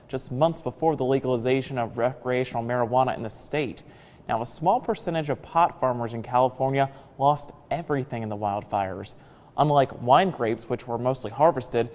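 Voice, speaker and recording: A man's voice: mid-range voice, darkish tone. American male news anchor in a reverberant room.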